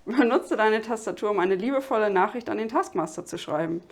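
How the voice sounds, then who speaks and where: Excitable, swearing woman contestant. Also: mid-range voice, a little fast. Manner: excitable, swears, talks to herself. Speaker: game show contestant. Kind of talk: unscripted game show play.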